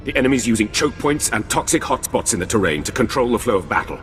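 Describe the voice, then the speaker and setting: An actor playing a dutiful Imperial special forces captain in a video game, reporting in a clipped, deferential military manner. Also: male voice, medium pitch, big pitch swings, quick pace, fairly bright tone. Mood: urgent, formal. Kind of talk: dramatic video game acting. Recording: long reverb under music.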